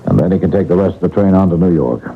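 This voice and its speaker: An actor playing a brisk, authoritative detective in a radio mystery drama, commanding and matter-of-fact. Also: male voice, low-pitched, expressive, gravelly. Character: brisk, authoritative male detective taking charge of a robbery case. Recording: muffled narrowband old radio broadcast, faint hiss.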